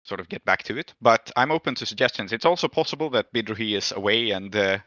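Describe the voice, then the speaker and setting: Bright-voiced man running a tabletop game. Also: on the low side, expressive, quick pace, no room sound, lo-fi sound. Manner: thinks aloud, explanatory, hedging proposals. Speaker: game master and host. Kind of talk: casual tabletop roleplay discussion.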